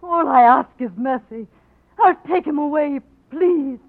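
A woman's voice: high voice, unhurried. An actress with an Irish accent playing a desperate young woman begging for mercy in a 1940s radio drama. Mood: pleading. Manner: pleading, tearful. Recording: tinny old radio broadcast.

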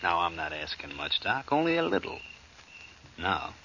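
A sly American blackmailer out of a forties radio play. His low, forceful voice is smug and insinuating, full of casual menace. The old recording is muffled. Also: very animated, normal speed, gravelly, slight background noise, full character voice. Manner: insinuating, clipped repeated phrases. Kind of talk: 1940s American radio drama acting.

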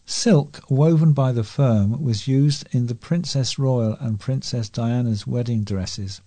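A man's voice: mid-range voice, warm tone. A man reading scripted local news as a volunteer newsreader.

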